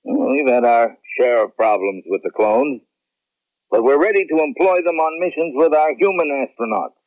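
An actor playing an authoritative general who runs a space agency, giving measured official briefings in a 1970s radio drama. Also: male voice, medium pitch, no room sound, lightly acted. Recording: tinny, dry old radio broadcast.

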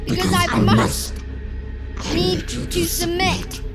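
An imperious spirit from a horror audio drama, with an eerie, extremely high voice that swoops widely. She commands slowly and cryptically, demanding submission. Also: huge pitch swings, over loud music, exaggerated voice. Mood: cold, menacing. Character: otherworldly female entity demanding blood and submission, imperious.

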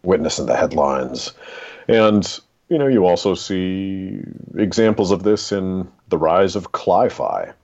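Really low gravelly guy, author guest, talks deliberate n dramatic like a storyteller, bit muffled audio. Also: lively intonation, darkish tone. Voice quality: very deep, gravelly. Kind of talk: literary discussion and storytelling.

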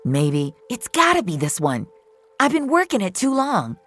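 A narrator voicing a menacing, suspicious stranger with a raspy voice in an audio drama. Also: mid-range voice, wildly swooping pitch, normal speed, a bit bright, soft background music, voice pushed up. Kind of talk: acted dialogue in audio drama.

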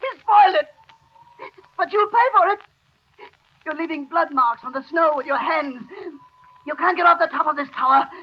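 An actor playing a crazed, vengeful poisoner in a 1930s radio thriller, gloating and ranting. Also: male voice, extremely high pitch, normal speed, pauses often. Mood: enraged. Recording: scratchy muffled 1930s radio recording.

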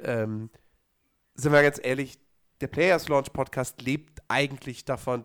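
A man co-hosting a podcast, hoarse from a cold, chatting casually with lots of fillers and restarts. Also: mid-range voice. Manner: rambling, many fillers and restarts. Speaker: podcast co-host. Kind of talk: casual chat.

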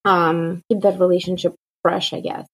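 A woman's voice: fairly low pitch, moderate pace, slightly rough, rough recording. A woman from Kazakhstan with a Kazakh accent, a native Russian speaker, talking casually as a marketing guest on an interview, with plenty of "kinda" and "like". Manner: relaxed, many fillers like "kinda" and "like".

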